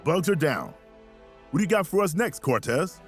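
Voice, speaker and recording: Commanding military colonel, lively pitch. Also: male voice, normal speed, soft background music. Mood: steady, focused. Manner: commanding, informal soldier talk. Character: gruff, confident mobile infantry colonel leading troopers. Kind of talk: military video game acting.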